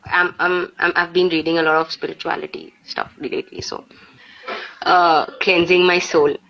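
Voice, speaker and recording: A woman with an Indian accent speaking reflectively on a live poetry session, in a halting way peppered with "you know". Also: fairly low pitch, level tone, gravelly, fairly bright tone, a little hiss or hum. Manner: halting, peppered with 'you know'. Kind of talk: casual reflective chat and poetry recitation. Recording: dull lo-fi live stream audio.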